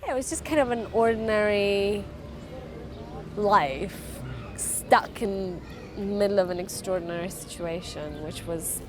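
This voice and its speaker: A woman from Afghanistan whose first language is Farsi, giving earnest, halting interview answers about her life. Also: medium pitch. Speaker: interviewee, refugee charity founder.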